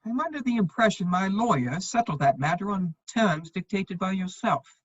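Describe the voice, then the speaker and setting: Husky, animated aloof gentleman wit, played by a woman. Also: medium pitch, no echo, highs cut off. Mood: dry, sardonic. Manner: dry, measured, epigrammatic delivery. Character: witty, aloof upper-class gentleman, principled and cutting. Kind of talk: dramatic reading of a period comedy play.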